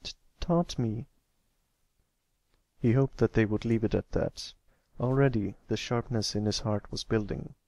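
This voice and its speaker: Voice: mid-range voice, normal speed, creaky, hardly any pauses, dark tone, no echo. An amateur reader giving a scripted narration of a story.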